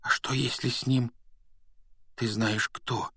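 A man plays a clever young schoolgirl witch in a storybook reading. The voice is lifted high and sits close in a dry space. She is bossy and earnest, explaining things emphatically, yet caring underneath. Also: flat delivery, takes it slowly, pushed and bright, breathy edge, no room sound, full character voice. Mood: frightened, hushed. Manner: lecturing, emphatic.

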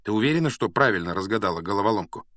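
A man's voice: on the low side, lively intonation, very fast, a bit bright, no echo. A man reads as a young schoolboy wizard in a storybook. He is brave but modest, speaking in an earnest, plain way. The sound is close and dry. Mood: anxious, urging.

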